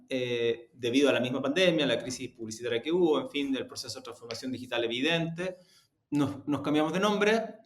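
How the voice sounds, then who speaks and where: A man's voice: a little high, a bit bright. A man with a Chilean accent giving explanatory, conversational interview answers, emphatic and often rephrasing himself as he goes. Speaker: media executive being interviewed. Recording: roomy, echoing sound.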